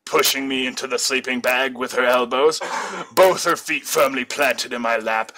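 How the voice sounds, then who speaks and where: A man's voice: medium pitch, bright, forceful tone, rough recording. A man reading fan fiction aloud as an amateur, now and then stumbling over words and correcting himself. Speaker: amateur story reader.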